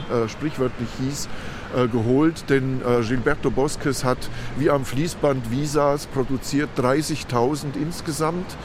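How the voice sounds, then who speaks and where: A man speaking as a guide, explaining things informally and off the cuff. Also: medium pitch. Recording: noisy outdoor street with traffic.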